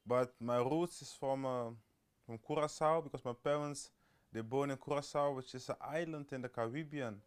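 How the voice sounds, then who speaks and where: A man's voice: medium pitch, moderate pace, clean tone. A man from the Netherlands introducing himself in an interview in a friendly, explanatory way. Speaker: student. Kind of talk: interview answers.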